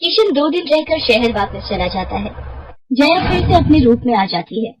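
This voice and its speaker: High, slightly husky female storyteller. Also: sing-song, moderate pace, poor audio. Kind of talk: scripted story narration.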